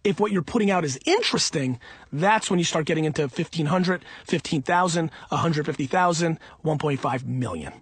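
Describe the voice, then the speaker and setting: A man's voice: on the high side, brisk, breathy edge, no room sound. A man of about forty giving blunt, emphatic, informal advice in an off-the-cuff monologue. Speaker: audiobook author answering listener questions.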